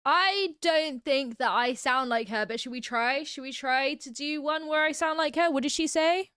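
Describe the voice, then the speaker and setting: A woman's voice: sits high, normal speed, sharp tone, no echo. A British woman hosting a livestream who chats casually and giggly, reading viewer comments aloud. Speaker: livestream host. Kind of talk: casual chat reacting to live comments.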